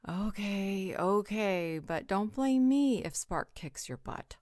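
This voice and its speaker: A woman's voice: mid-range voice, expressive, slow pace, darkish tone, no echo, clean studio sound. A woman playing a playful, caring member of a supernatural pack in a roleplay audio drama, teasing and reassuring. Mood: sassy warning.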